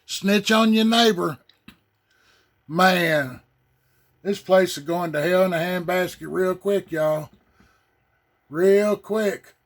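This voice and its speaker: A man's voice: high-pitched, slow, pauses often. A man giving casual, folksy reaction commentary as a video host. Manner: folksy, interjecting agreement.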